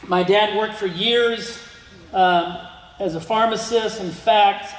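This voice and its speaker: A man's voice: high voice, steady tone, slow. Middle-aged man lecturing in an echoey hall. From United States. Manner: rambling, many ums and restarts. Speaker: guest speaker. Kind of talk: museum lecture with slides. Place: museum lecture room. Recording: echoey hall with long reverb.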